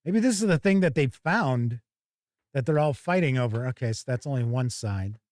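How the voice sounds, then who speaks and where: A man hosting a tabletop game show, giving casual, halting live unboxing commentary and thinking aloud. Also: medium pitch, animated, darkish tone, no room sound, studio quality.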